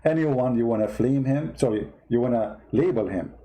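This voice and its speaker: A man's voice: medium pitch, moderate pace, warm tone, low-quality recording. A man with a foreign accent who is not a native English speaker, giving heated, mocking political commentary and firing off repeated rhetorical questions. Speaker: host commentator.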